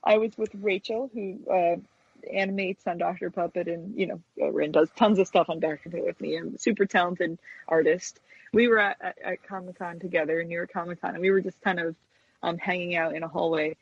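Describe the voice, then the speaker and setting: A woman's voice: lowish voice, steady tone, fairly quick, husky, no echo, muffled recording. An American woman chatting casually as an interview guest, telling anecdotes in a hesitant, rambling way peppered with "you know". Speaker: guest, stop-motion puppet animator.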